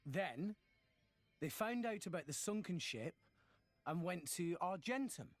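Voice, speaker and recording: Earnest teenage boy hero for a game: high, animated, sincere. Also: unhurried, dry recording, faint music behind. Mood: determined. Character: earnest, good-natured teenage boy salvager. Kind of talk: video game cutscene acting.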